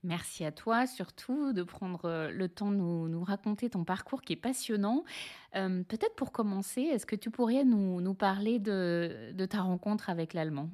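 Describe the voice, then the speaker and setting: Podcast host, woman. Mellow, mid-pitched, articulate and polite delivery. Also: moderate pace. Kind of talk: podcast introduction and interview.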